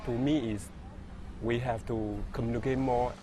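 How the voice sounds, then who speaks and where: A man from China, a native Chinese speaker, answering an interview in halting English. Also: medium pitch, flat delivery, noisy background. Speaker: interviewee, permanent resident.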